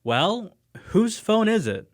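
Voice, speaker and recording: An actor playing an earnest, trusting young detective's sidekick in an audio drama, hesitant and questioning. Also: male voice, high voice, very animated, slow pace, heavily acted. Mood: puzzled. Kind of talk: scripted audio drama acting.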